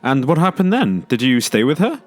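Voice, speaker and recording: A man's voice: medium pitch, sing-song, normal speed, lightly acted. An actor playing an earnest, self-doubting father with a hesitant, stammering way of talking in an audio drama. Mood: friendly, attentive. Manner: hesitant, stammering, repeats words. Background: music.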